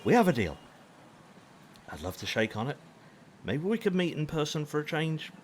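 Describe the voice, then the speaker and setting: An actor playing an unhinged, gleeful space smuggler in an audio drama, with a theatrical, oily, volatile delivery. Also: male voice, on the high side, big pitch swings, normal speed, slight background noise. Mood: smug. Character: unhinged gleeful smuggler bounty hunter, a crooked father.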